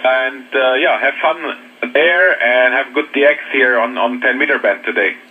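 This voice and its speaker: A man with a German accent talking haltingly in casual English as an amateur radio operator over a radio transmission. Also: mid-range voice, breathy edge. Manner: halting, searching for English words. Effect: shortwave radio transmission. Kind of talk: casual radio contact chat. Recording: narrow, noisy ham radio reception. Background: radio static.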